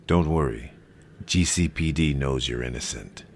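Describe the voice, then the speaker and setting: An actor playing a grim, commanding masked vigilante in an audio roleplay, giving terse orders in a gravelly voice. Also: male voice, a bass voice, unhurried, slight background noise, heavily acted. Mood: stern, urgent. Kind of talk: ASMR roleplay acting.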